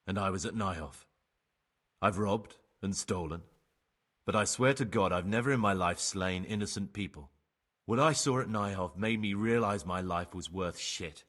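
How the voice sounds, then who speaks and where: Repentant outlaw monk, low husky voice, measured, persuasive, plain-spoken, game cutscene. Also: male voice, a bit bright. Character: remorseful young ex-bandit novice monk, sly pragmatic bargainer. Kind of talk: dramatic game cutscene acting.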